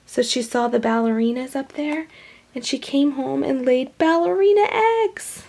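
A woman reading a storybook aloud to young children in an expressive, animated way, with hushed asides. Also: sits high, normal speed, gravelly. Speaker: storybook reader.

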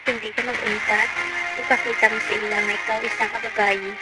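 Earnest, determined young heroine played by a student actress, radio drama with loud music underneath. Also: female voice, mid-range voice, little pitch movement, fast talker, close to an everyday voice, rough recording. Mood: determined, inspirational. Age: young adult. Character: earnest, determined young woman, abused daughter turned advocate.

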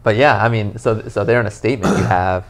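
Lowish, gravelly male pastor. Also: fast talker. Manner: animated, earnest storytelling with many "you know" fillers. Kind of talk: casual podcast conversation. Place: living room, on a couch, indoors.

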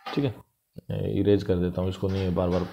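A man's voice: sits low, flat delivery, mellow, dull audio. A male math teacher with an Indian accent, talking through a worked problem step by step over a screen recording. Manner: explains step by step, reads English question lines slowly.

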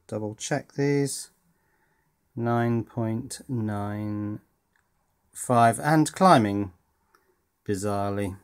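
British male hobbyist presenter, medium pitch, informal, thinking out loud with hesitations. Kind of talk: casual hands-on project narration. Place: indoors at a workbench.

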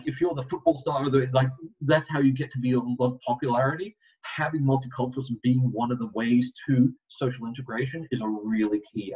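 A middle-aged man giving a webinar presentation on a video call, telling stories with lively asides. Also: mid-range voice, husky. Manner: animated storytelling with asides. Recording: distant video call audio.

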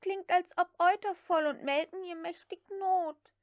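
Woman voicing a frightened, high-pitched young maid. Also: steady tone, unhurried, no room sound, clean studio sound. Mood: calmer. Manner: broken grammar, pleading protests. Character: frightened, whining, stubborn young maid girl. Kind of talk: audiobook character acting.

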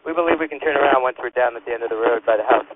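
A man's voice: mid-range voice, racing. Male firefighter on dull, hissy radio. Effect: two-way radio. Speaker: firefighter, engine company officer. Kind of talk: radio field reports. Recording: muffled fire radio, faint noise and clicks.

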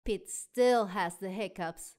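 A woman narrating children's storybooks in an expressive way aimed at young listeners. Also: medium pitch, slow. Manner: expressive, child-directed delivery. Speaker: storyteller and show host.

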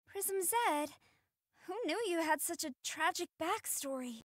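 A woman's voice: very high-pitched, lively intonation, unhurried, dry recording, studio quality. A woman voicing a perky, bold young superhero in a video game, theatrical and emphatic. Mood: eager. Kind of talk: anime-style video game voice acting.

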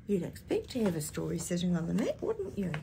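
An older woman with a husky voice reading a picture book expressively to young listeners, adding chatty asides and animated tag questions. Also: fairly low pitch, fairly quick, slight background noise. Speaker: children's storytime reader. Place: small indoor space with curtain backdrop.

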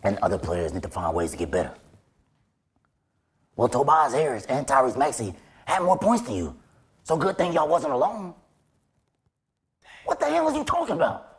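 A man's voice: mid-range voice, huge pitch swings, moderate pace, long pauses. A young man playing an exasperated, sarcastic basketball head coach in sketch comedy, with a scratchy, strained voice and indignant rhetorical questions. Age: young adult. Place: small bright indoor room.

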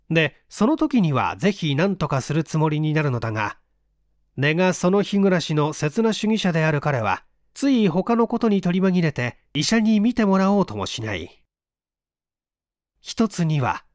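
A male narrator reads fiction in a measured, dramatic way. His voice is on the high side with animated intonation. The recording is very dry and close. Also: no echo. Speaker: audiobook reader.

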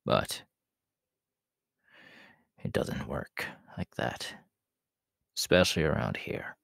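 A man playing a proud, menacing, world-weary demon king in an ASMR roleplay, talking in a deliberate, haughty way that often sinks into breathy whispers. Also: extremely low pitch, expressive, fairly bright tone, no echo, studio quality, heavily acted. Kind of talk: ASMR audio roleplay acting.

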